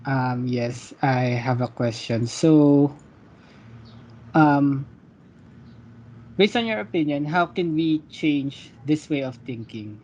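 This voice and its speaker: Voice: medium pitch, darkish tone, lo-fi sound. A young student moderating a class group discussion, asking questions. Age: young adult.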